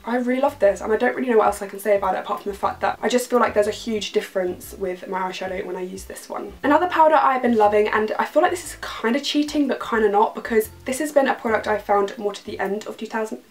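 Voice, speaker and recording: Young British woman beauty vlogger, slightly croaky, effusive and chatty, casual bedroom review to camera. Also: medium pitch, fast, few pauses. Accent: British English. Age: young adult. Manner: gushing, enthusiastic, repeats words for emphasis. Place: bedroom, indoors.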